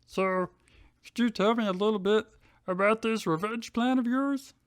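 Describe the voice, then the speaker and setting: A man's voice: sits high, moderate pace, lightly acted. A man voicing a polite, earnest young accomplice in a comedic fan fiction reading. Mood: polite curiosity. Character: courteous, eager young male accomplice.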